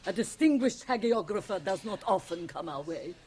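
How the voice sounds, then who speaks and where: A woman's voice: mid-range voice, lively intonation, darkish tone, natural voice. An actress playing an imperious, shrewd woman who runs a company, formal and persuasive in a radio drama. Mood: flattering. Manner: formal, deliberate, coaxing. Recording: hissy 1980 radio cassette transfer.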